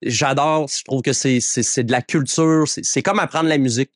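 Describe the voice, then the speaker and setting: A man's voice: mid-range voice, moderate pace, no echo. Quebec man explaining in a dry studio. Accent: Quebec French. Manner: explanatory, hesitant restarts. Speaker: guest business co-owner. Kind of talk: radio interview answers.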